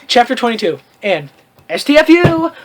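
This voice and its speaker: A man's voice: extremely high pitch, big pitch swings, normal speed, noisy background. A man hosting a comedic read-aloud of fan fiction, with theatrical, mocking asides and casual commentary.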